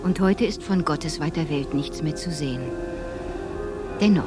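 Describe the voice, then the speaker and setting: A woman's voice: sits low, a little slow, over music. An actress playing a reflective woman narrator who recalls a hard childhood in a literary radio drama.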